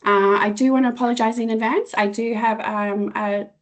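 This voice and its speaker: An Australian woman with a croaky voice, walking through a website as an assessment consultant in a webinar. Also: a little high, moderate pace. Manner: steady instructional, many fillers. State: croaky voice with a cough.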